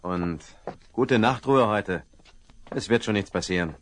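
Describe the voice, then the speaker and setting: A man's voice: lowish voice, measured pace, faint music behind, lightly acted. An actor playing a bluff, easygoing male sheriff in a 1970s radio play. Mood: gruff. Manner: bluff, scolding, reassuring.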